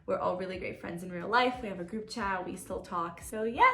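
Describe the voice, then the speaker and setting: A woman's voice: mid-range voice, a little fast, fairly bright tone, reverberant, slight background noise. A young woman from Brazil, Portuguese her first language, chatting casually to camera and telling a story with lots of "like". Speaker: vlogger.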